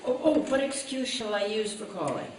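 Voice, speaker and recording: A lively, high-voiced 1940s housewife played by an actress, scheming and fretful, in radio comedy style. Her pitch swings freely. The sound is very echoey, picked up at a distance in a big hall. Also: a little fast. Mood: fretful, sheepish. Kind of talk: old-time radio comedy acting before a live audience. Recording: echoey hall, distant mics, hissy noise.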